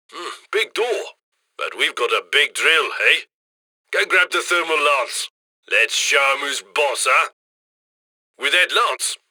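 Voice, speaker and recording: A man's voice: a little high, bright, forceful tone, dry recording, studio quality, full character voice. A man playing a cheerful, cheeky heist handler who chummily guides a robbery crew in a video game. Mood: brisk, scheming. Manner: chummy, cheeky, colloquial, gleeful about loot. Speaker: mission handler. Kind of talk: video game mission voice lines.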